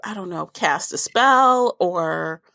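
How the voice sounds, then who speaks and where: Animated woman with a bright voice. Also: on the low side, slow pace, creaky, no echo, studio quality. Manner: opinionated, informal. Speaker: podcast host. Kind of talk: casual book discussion chat.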